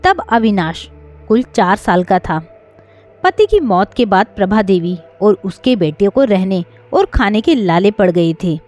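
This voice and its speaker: Woman as gossipy elderly care-home resident, animated. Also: mid-range voice, unhurried. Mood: gossipy, curious. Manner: questioning, gossipy.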